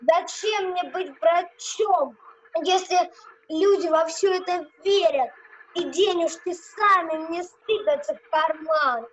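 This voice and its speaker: Child playing a bossy puppet-theatre villain, very high-pitched. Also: takes it slowly. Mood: scornful, greedy. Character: gruff, greedy, bullying puppet-theatre master. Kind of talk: children's amateur audio play. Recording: dull, noisy home recording.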